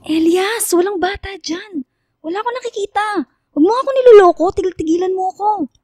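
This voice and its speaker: A woman's voice: very high voice, brisk, darkish tone, dry recording, clean studio sound. A young woman playing a skeptical, easily spooked student in a Filipino radio drama, teasing and emphatic. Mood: annoyed, scared.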